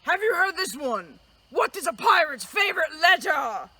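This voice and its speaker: A woman's voice: very high voice, big pitch swings, moderate pace, sharp tone. A woman hosting a children's story time in a theatrical, playful, exclamatory way. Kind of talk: theatrical children's storytelling, acted skits and jokes.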